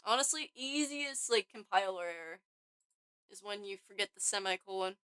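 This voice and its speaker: A woman's voice: medium pitch, expressive, normal speed, pushed and bright, smooth. A young woman streaming her game development, chatting casually to her viewers while she codes, her voice worn from a week of talking. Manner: rambling, self-interrupting, talks to chat. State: voice tired from overuse. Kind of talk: casual live-stream chat while coding.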